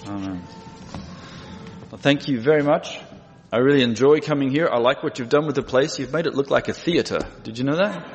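A man's voice: mid-range voice, a little fast, highs cut off, soft background music. A middle-aged man with an Australian accent, preaching conversationally with dry humour and plain speech. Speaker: guest preacher and missionary. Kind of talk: conversational sermon. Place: large church room. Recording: echoey hall with long reverb.

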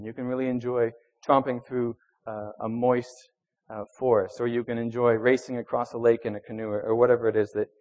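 A man's voice: medium pitch, darkish tone, muffled recording. A young man who grew up in Venezuela, preaching a sermon in a conversational way with ums and uhs, reading scripture with extra emphasis. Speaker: pastor.

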